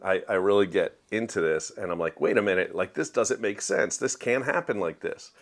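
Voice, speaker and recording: A man's voice: fairly low pitch, expressive, moderate pace, vocal fry. A man giving a casual, opinionated book review to viewers in a conversational, emphatic way. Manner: conversational, acts out quoted dialogue in everyday voice.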